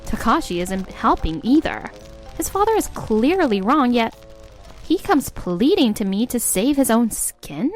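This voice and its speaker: An exasperated young wife. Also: female voice, on the high side, very animated, measured pace. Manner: exasperated, sarcastic. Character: fed-up young wife narrating her story. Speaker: narrator and wife. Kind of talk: scripted narration. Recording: faint music behind.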